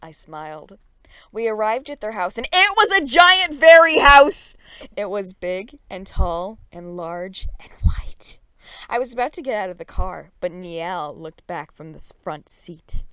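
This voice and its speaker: A woman reads a comic story aloud with playful, theatrical emphasis. Her voice sits at an average pitch. The sound is close, with no room echo. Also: very animated, measured pace, a bit bright, clean tone. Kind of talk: mock-dramatic comic story reading.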